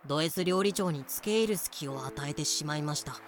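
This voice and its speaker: A man playing a polite, long-suffering young navigator with dry, deadpan complaints in a fan-made voice drama. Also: on the high side, normal speed, slight background noise, natural voice. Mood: resigned, wry. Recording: music behind the voice.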